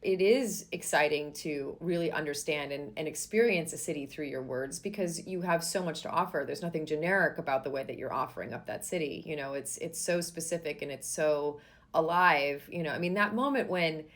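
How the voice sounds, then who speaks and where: A woman with a fairly low voice hosts a book podcast and interviews her guest. She is enthusiastic and gushing and full of "you know" and "like". Her sentences restart and tumble as her excitement builds. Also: fairly quick. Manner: enthusiastic, gushing, many fillers. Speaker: podcast host. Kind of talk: casual interview chat.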